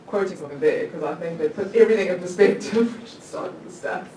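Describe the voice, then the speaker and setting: A woman's voice: on the low side, quick pace, mellow. A woman in her thirties, a law lecturer, asking the panel a long question from the floor, chatty, with many restarts and little laughs at herself. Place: conference hall. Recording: echoey hall, distant mic, faint noise.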